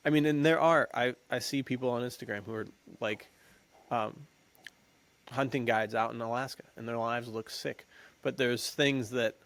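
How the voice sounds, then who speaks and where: Gravelly young American man chatting casually. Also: mid-range voice, fairly even intonation, normal speed, fairly bright tone. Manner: slangy, jokey storytelling. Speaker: podcast co-host. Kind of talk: casual chat.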